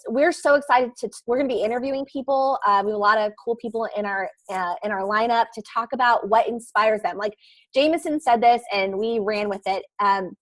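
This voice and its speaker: A woman co-hosting a podcast, chatting excitedly in a casual panel and laughing often. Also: on the high side, no echo. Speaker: podcast co-host.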